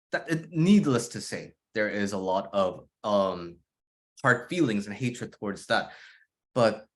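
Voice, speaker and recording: A man speaking as an interview guest over a video call, reflective and loose, with many fillers. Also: medium pitch. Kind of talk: casual conversational interview.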